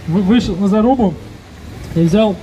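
A man in his thirties hosting a car audio contest outdoors on a handheld mic, loudly calling to the crowd and announcing results. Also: high voice, moderate pace, rough recording. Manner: loud and rousing, calling to the crowd. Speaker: event host.

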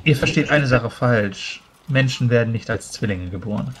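Man playing a calm human adventurer. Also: mid-range voice, moderate pace, close to an everyday voice, poor audio. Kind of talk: in-character tabletop roleplay. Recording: faint background noise.

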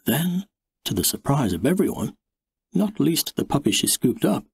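A man reading an audiobook story in the first person, with measured, wry and expressive delivery. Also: fairly high pitch, gravelly, slightly rough, no room sound, clean studio sound. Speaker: audiobook narrator.

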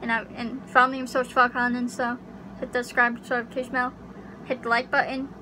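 Young woman, higher voice, rambling casual vlog, fillers, webcam close-up, small bedroom, air conditioner hum. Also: flat delivery, quick pace. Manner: rambling, chatty, unsure, many fillers. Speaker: YouTuber. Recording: home recording with audible AC hum.

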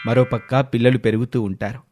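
A man's voice: mid-range voice, fairly even intonation, a little fast, a little mellow, faint music behind. A man narrating a scripted moral story as a storyteller.

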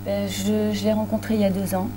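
A woman's voice: medium pitch, level tone, fairly quick. A young woman born and raised in Italy, a singer interviewed on a TV set with a lapel mic, answering hesitantly with many fillers. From born in Italy, raised there to age 12. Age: young adult. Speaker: singer, guest. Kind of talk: studio interview. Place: TV studio set. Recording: noisy 1980s TV broadcast tape.

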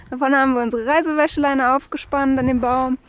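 A woman's voice: high voice, steady tone, moderate pace. Young woman chatting, a bit distant. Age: young adult. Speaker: travel vlogger. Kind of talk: casual vlog chat. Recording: noisy, distant camera microphone.

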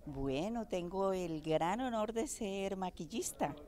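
A woman's voice: medium pitch, lively intonation, takes it slowly, dark tone. A middle-aged woman, a makeup artist, giving a gracious, promotional red-carpet interview on a handheld microphone. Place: indoor event backdrop.

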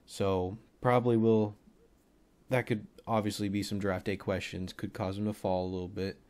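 A young man with a cold and a voice deeper than usual, casually rambling through a football film breakdown with plenty of fillers. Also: level tone, normal speed, a little mellow. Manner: rambling, offhand, thinks aloud with many fillers.

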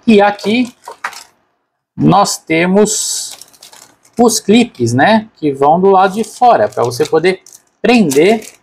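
A man's voice: sits high, lively intonation, slow, vocal fry, long pauses, slight background noise. A man with a Brazilian Portuguese accent narrating a product unboxing casually and unscripted, informally thinking aloud as a reviewer.

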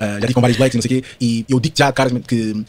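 A man in his twenties with a European Portuguese accent, talking as a podcast guest in an animated, slangy way with lots of fillers and tag questions. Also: medium pitch, rapid-fire, a crowd in the background. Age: 20s. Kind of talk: casual podcast conversation.

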